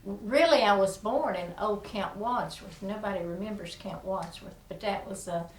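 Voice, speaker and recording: A woman's voice: mid-range voice, moderate pace, reverberant, distant mic. A woman, reminiscing in a folksy, rambling way in an oral history interview, often chuckling. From South Carolina, USA. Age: 70s. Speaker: interviewee, amateur musician.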